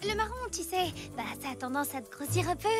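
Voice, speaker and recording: A woman's voice: extremely high pitch, expressive, fast talker, over loud music. A woman voicing a tactless, chatty, easily panicked child in a French cartoon. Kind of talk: animated cartoon voice acting in French.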